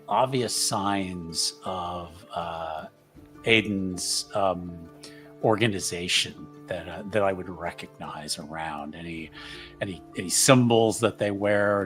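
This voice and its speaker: A man's voice: deep voice, a bit bright. A man taking part as a player in a tabletop game, talking casually and hesitantly. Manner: hesitant, lots of fillers.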